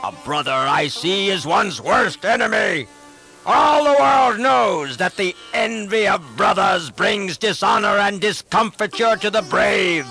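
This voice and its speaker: A man's voice: lively intonation, takes it slowly, vocal fry, soft background music, exaggerated voice, low-quality recording. A man playing a wrathful demon king. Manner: shouting, booming and defiant.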